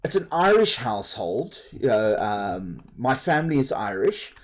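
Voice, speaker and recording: Slow, rambling South African man. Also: medium pitch, very animated. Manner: rambling, chatty, laughs easily. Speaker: game streamer. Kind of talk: casual chat while playing a game.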